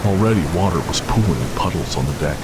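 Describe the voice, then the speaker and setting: A man narrating a scripted horror story in a measured, solemn manner. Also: deep voice, animated, very noisy. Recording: loud music with rain and thunder.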